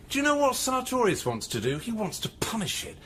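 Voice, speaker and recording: An actor playing a drunken, taunting scientist on a space station in an audio drama, rambling theatrically with slurred speech. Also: male voice, high-pitched, huge pitch swings, normal speed, noisy background. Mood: drunken, mocking.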